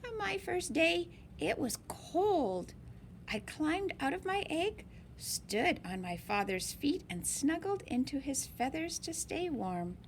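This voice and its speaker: A woman reading a picture book aloud in an expressive, deliberate way for young listeners. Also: high-pitched, a little hiss or hum. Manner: slow, deliberate, animated phrasing for young listeners. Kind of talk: expressive children's read-aloud.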